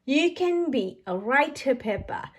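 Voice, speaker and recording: A woman voicing a warm, encouraging mother pig in a children's storybook, patient and supportive. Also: sits high, animated, a little slow.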